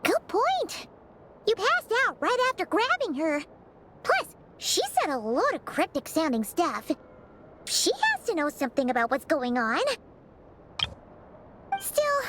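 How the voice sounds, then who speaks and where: A woman voicing a small, chatty female fairy companion in a game cutscene, with a very high, squeaky voice and an eager, fussing manner. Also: background noise, full character voice. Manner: eager, fussing, quick questions.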